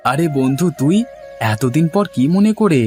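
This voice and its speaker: A man's voice: on the high side, expressive, moderate pace, a little mellow, lightly acted. A man voicing a mild, hardworking village father and farmer in a moral-story cartoon. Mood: welcoming. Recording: music playing under the voice.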